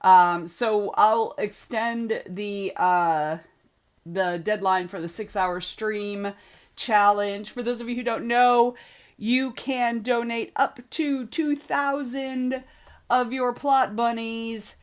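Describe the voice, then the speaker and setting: A woman hosting a casual writing livestream, chatting and greeting viewers by name. Her voice is a little hoarse and wobbly, and she keeps clearing her throat. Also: a little high, steady tone, takes it slowly. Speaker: livestream host. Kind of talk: casual chat on a writing stream.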